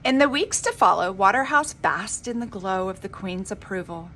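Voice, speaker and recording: A woman reading a children's story aloud in an expressive, dramatic, emphatic storybook manner. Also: mid-range voice, moderate pace. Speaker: reader of a children's book.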